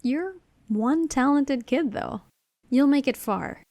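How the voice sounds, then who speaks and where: A woman's voice: high voice, expressive, darkish tone, no room sound, heavily acted. Laid-back, encouraging aunt played by a woman, close dry sound. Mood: reassuring. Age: adult. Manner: laid back, drawn-out emphasis on words. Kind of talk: casual animated-comic dialogue.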